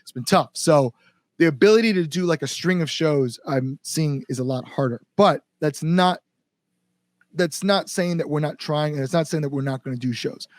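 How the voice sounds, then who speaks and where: Higher-voiced American man, earnest and casual. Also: normal speed, no echo. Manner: earnest, informal with mild swearing. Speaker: band front-man being interviewed. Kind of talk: casual conversational interview.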